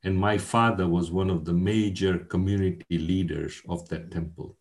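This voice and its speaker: A man in his sixties with a very deep, low-pitched voice speaks as an interview guest. He talks in an earnest, measured way, often pausing and restarting while he thinks. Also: fairly even intonation, a little mellow. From Kenya. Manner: measured, earnest, with frequent hesitations. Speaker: guest, education academic. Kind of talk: conversational interview.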